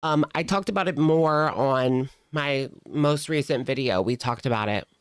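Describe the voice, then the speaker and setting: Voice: medium pitch, normal speed, clean tone, no room sound. A streamer giving casual reaction commentary in a gossipy, sardonic, conversational way.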